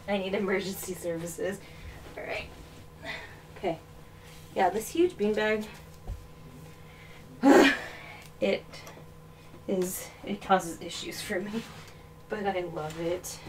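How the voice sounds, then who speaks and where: A woman's voice: medium pitch, background noise. A woman in her thirties with a slight Arkansas accent, chatting casually on a livestream while tidying her bedroom, rambling and making fun of herself, often moving away from the webcam mic. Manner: rambling, self-mocking, talks while moving things around. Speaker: livestreaming doll collector. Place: small bedroom full of dolls. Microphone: webcam mic, often off-mic moving around.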